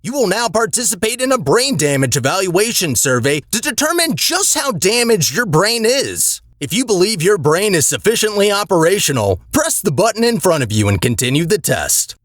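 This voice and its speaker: An actor voicing a calm, formal automated announcer in a video game, reading test instructions in a detached, officious manner. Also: mid-range voice, moderate pace, dry recording, clean studio sound. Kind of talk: scripted deadpan public-address announcements.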